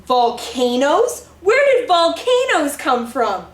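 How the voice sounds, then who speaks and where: A woman reads a children's storybook aloud in a high, very animated voice. She performs it girlishly, playing up every reaction. The room has a clear echo, with faint music behind her. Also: slow pace. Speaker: teacher reading a picture book aloud. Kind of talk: dramatic storybook read-aloud in character.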